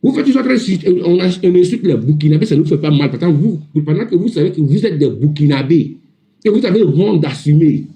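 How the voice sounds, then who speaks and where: Ivorian male, heated panel debater, somewhat high-pitched yet very mellow-toned, insistent, repetitive, informal delivery. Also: lively intonation, a little fast. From Ivory Coast. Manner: indignant, emphatic, repetitive. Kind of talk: heated informal political rant on a live audio panel.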